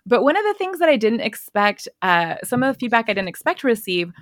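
A woman guest on a podcast, chatting casually in a bubbly, energetic way, often laughing, with a voice others find relaxing. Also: a little high, fast talker, no echo, studio quality. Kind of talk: casual interview chat.

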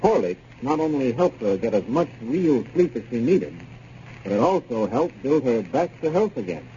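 An American man reads a 1930s radio testimonial. His voice is soft-toned and moves animatedly up and down. The sound is dull, with light hiss behind it. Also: mid-range voice, big pitch swings, moderate pace. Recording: muffled, hissy 1930s radio recording.

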